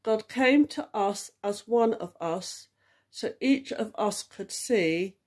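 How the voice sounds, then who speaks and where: A woman reading a ballad poem aloud in a measured recitation. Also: mid-range voice, expressive, a little mellow. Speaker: church group leader reading aloud.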